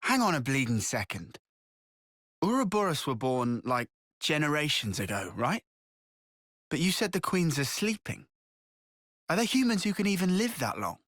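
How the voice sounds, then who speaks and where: Brash young soldier, swooping in pitch. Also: sing-song, moderate pace, pauses often, fairly bright tone, no room sound, clean studio sound. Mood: incredulous. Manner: colloquial, questioning, impatient. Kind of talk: video game cutscene acting. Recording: music behind the voice.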